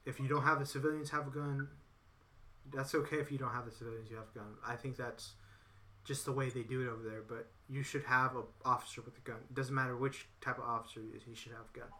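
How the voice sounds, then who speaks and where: A man's voice: mid-range voice, steady tone, fast talker, bright, forceful tone. A man in casual political chat, rambling and repeating himself with lots of "you know". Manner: acts out others in quoted voices.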